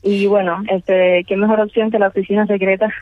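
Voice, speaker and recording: A woman's voice: medium pitch, little pitch movement, fairly quick, dry recording. A Cuban woman, a singer, chatting as a guest on a radio show over the phone, with many hesitations and fillers. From Cuba. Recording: tinny phone line.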